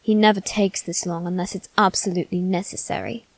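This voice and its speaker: A woman's voice: lowish voice, fairly even intonation, fairly quick, no echo. A woman voicing a haughty, bitter young noble boy in an ASMR roleplay, speaking formally and with cold condescension. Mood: impatient, haughty. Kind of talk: ASMR character roleplay, scripted monologue.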